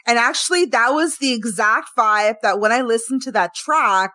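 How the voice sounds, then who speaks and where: A woman hosting a casual music interview, upbeat and quick to affirm her guest. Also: fairly high pitch, sharp tone, dry recording, studio quality. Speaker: interview host.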